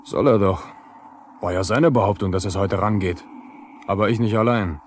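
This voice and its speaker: A man's voice: on the low side, fairly even intonation, normal speed, natural voice. An actor playing a cocky young lineman, sullen and mocking in colloquial speech, in a 1970s radio drama. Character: cocky, defiant young male telephone lineman. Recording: faintly noisy 1970s vinyl radio play.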